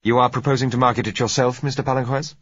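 Guarded managing director, read by a man: businesslike, husky, dry close sound. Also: medium pitch, steady tone, fast talker, dry recording, voice pushed up, natural voice. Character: cautious male company managing director. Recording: dull lo-fi audio.

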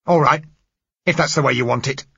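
A man voicing an angry street agitator of about thirty, whose speech is a mix of rough and educated and who shouts passionately. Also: mid-range voice, brisk, no echo, pitched above the performer's own voice, heavily acted. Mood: contemptuous. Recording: dull, slightly lo-fi recording.

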